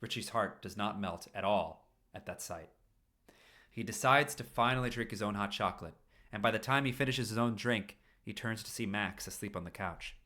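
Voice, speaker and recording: A man's voice: lowish voice, sharp tone. A man narrating a story aloud in an informal live reading, breaking off for apologetic asides. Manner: self-interrupting, apologetic asides. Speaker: streamer reading fan fiction aloud.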